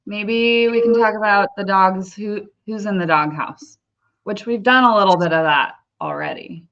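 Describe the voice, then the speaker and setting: Slightly lo-fi, dulled audio carries a woman's bright, forward voice at an average pitch. She co-hosts a sports podcast and talks in a casual, conversational way. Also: lively intonation, normal speed. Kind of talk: casual baseball chat.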